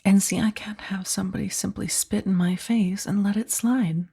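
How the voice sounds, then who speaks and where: A woman playing a powerful, condescending mafia boss in a soft-spoken ASMR roleplay, taunting with mock sweetness and a breathy, husky voice that dips toward whispers. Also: mid-range voice, steady tone, normal speed. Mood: cool, menacing.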